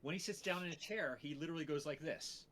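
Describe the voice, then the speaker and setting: A man's voice: a little high, fast talker, crowd noise. A man chatting casually as host of a stream, enthusiastic and joking. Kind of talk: casual chat.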